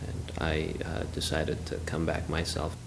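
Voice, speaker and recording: A man from Turkey who grew up in Chicago, answering interview questions in a measured, matter-of-fact way. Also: rumbling low, monotone, vocal fry, noisy background. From Turkey, raised in Chicago. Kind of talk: casual interview.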